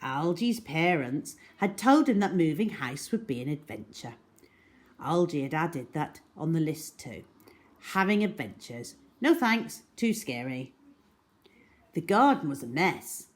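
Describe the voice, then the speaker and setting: A woman storyteller reading a children's story aloud in an expressive, dramatic way. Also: sits low, a little slow. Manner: dramatic, animated.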